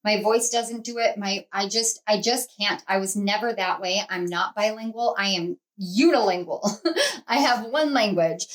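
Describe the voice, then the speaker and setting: A woman's voice: mid-range voice, moderate pace. Rambling woman giving a tarot reading. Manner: rambling, conversational, many fillers and restarts; earnest, speaks to viewers as a group. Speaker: tarot reader and channel host. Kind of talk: pick-a-card tarot reading to camera.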